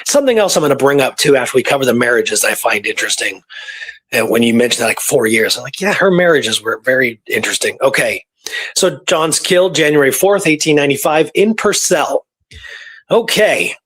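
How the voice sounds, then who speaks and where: A man's voice: medium pitch, animated, brisk, a bit bright, slightly rough, rough recording. A man hosting a podcast, talking casually about history in an informal, explanatory way and repeating points for emphasis. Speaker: podcast host. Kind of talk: casual conversational history talk.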